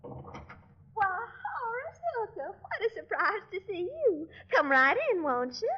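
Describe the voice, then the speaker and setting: A woman's voice: extremely high pitch, sing-song, unhurried, exaggerated voice. An actress playing a gushing, coy, fussing society lady and fiancée in a 1940s radio comedy. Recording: dull 1940s radio recording.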